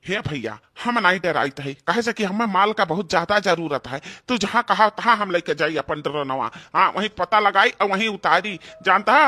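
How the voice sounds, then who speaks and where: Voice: mid-range voice, bright, forceful tone, close to an everyday voice. A performer voicing a greedy, bossy male village thief in an Awadhi comedy cartoon, scolding, with an Awadhi accent. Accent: Awadhi, eastern Uttar Pradesh.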